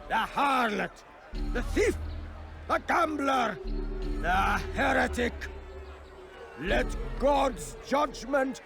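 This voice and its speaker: An actor playing a cruel, zealous crusade-era tyrant in a video game, speaking in a grandiose, declamatory manner. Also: male voice, very high voice, lively intonation, drawn-out words, creaky, long pauses, heavily acted. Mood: thunderous, fanatical. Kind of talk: video game dramatic acting, oratory. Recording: loud music behind.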